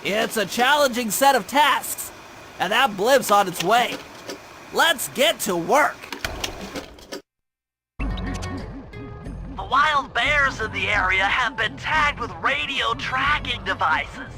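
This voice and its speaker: A man's voice: very high voice, lively intonation, slow, long pauses, bright, forceful tone, soft background music, full character voice. A man voicing a brainy, nerdy mission planner in a video game, with precise, wordy, formal phrasing. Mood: businesslike, urgent.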